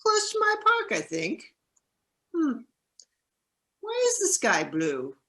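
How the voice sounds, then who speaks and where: A woman plays a naive young seagull in a stage comedy, with a bright, highish voice. The fledgling is earnest and nervous, and its pitch leaps around as it talks. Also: slow pace, full character voice. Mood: dreamy. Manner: earnest, wide-eyed, excitable. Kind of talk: amateur virtual one-act play acting.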